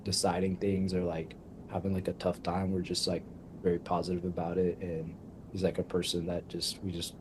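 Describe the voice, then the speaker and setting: Eager young guy from a band, low voice, bit of faint noise behind. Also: completely flat delivery. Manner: upbeat, eager, talks in quick bursts. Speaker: band member guest. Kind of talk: casual interview chat.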